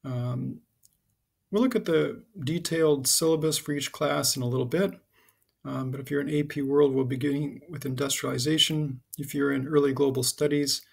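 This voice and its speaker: A middle-aged American man, a high school teacher, giving an informal, halting, explanatory introduction to his class over slides. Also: fairly even intonation, gravelly, husky. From United States (Connecticut and Southern California).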